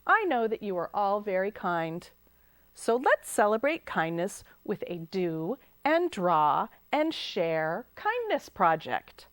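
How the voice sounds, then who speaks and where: A woman voicing a gentle female teacher in a storybook, speaking in a calm teacherly tone. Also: medium pitch, takes it slowly, no room sound. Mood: encouraging.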